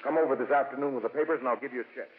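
An actor playing an irritable, decisive and brusque boss in a 1950s radio drama. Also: male voice, mid-range voice, racing, natural voice. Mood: businesslike, alarmed. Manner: brusque, demanding. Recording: roomy, noisy, narrow-band 1950s radio recording.

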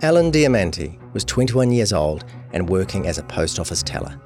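A man's voice: low voice, very animated, moderate pace, darkish tone, faint music behind. A man reading scripted documentary narration for a true crime podcast.

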